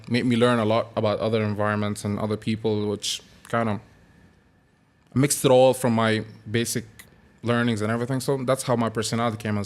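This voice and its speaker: A man in his thirties from Sudan, a podcast guest talking in a relaxed, easygoing way and laughing often. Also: lowish voice. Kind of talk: casual chat.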